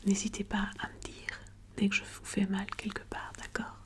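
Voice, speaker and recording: Woman playing a whispering doctor, calm and attentive. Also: medium pitch, normal speed, natural voice. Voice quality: breathy whisper. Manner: gentle, methodical, polite and formal. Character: calm, attentive female doctor examining a patient. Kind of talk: ASMR medical roleplay.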